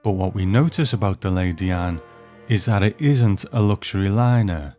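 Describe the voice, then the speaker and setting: A man's voice: low voice, moderate pace, dark tone, faint music behind. A man hosting and narrating a scripted review with story readings. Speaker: host and narrator.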